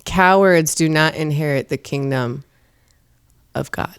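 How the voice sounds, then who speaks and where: Female guest, low register, emphatic and preachy when making a point. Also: a little slow, clear, resonant tone. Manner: earnest, emphatic, preachy about faith. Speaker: podcast guest, comedian and performer. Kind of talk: casual interview talk. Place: studio.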